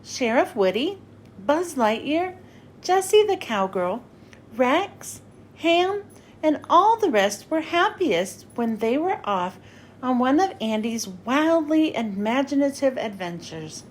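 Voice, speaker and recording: A woman narrating a children's storybook as a read-aloud host, with expressive, clearly phrased delivery. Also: sits high, slow, slight background noise.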